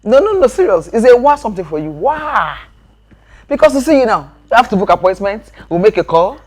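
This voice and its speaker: A woman's voice: medium pitch, a little fast. An actress playing a dramatic, teasing female best friend in a comedy skit. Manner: teasing, dramatic, animated outbursts.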